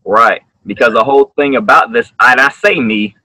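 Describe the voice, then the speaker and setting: A man, a singer, talking casually on a video call from a room at home, explaining earnestly with many halting restarts. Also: mid-range voice, background noise. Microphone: webcam on a video call.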